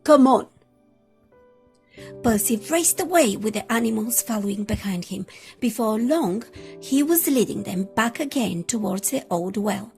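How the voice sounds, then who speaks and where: A woman reading a children's picture book aloud as an expressive storyteller. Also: medium pitch, moderate pace, vocal fry, soft background music.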